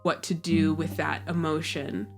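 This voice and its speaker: Woman player narrating her character, lowish voice, really slow and measured, faint music behind. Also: flat delivery, vocal fry, darkish tone. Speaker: tabletop game player. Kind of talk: in-character narration of a tabletop game.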